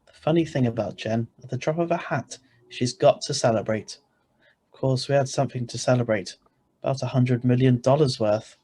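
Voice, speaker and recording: A man plays an ordinary husband in a radio thriller, greedy and stubborn. His middle-pitched voice is husky, with a wry, bossy, conversational delivery. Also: normal speed, warm tone, natural voice. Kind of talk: radio thriller narration and dialogue.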